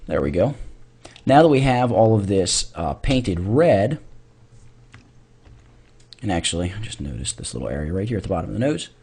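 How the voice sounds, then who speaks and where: A man narrating a software tutorial in an informal way, thinking aloud as he demonstrates. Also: on the low side, very animated, moderate pace, pauses often, breathy edge. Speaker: tutorial instructor.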